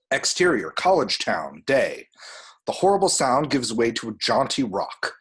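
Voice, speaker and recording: Slightly husky man narrating a screenplay. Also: medium pitch, a bit bright. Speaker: stage direction reader.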